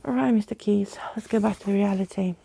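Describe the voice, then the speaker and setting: A woman voicing a kind, plucky young girl in a storybook, earnest and tender, with a soft, breathy voice. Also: medium pitch, fairly even intonation, fairly quick, slight background noise, natural voice.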